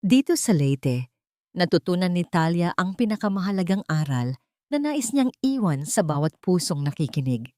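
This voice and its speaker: A Filipino woman narrates a sentimental audiobook drama in a somewhat low voice. Her delivery is earnest and solemn, as scripted storytelling. The recording is very dry, with no room sound. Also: sing-song, normal speed, dark tone, no echo.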